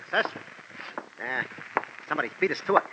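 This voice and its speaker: A man's voice: on the high side, expressive, fast, lightly acted. An actor playing a surly, slangy, uneducated henchman in an old radio crime drama. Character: insolent, uneducated male henchman, a small-time thug. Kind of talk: 1940s radio drama acting. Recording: noisy, muffled old radio recording.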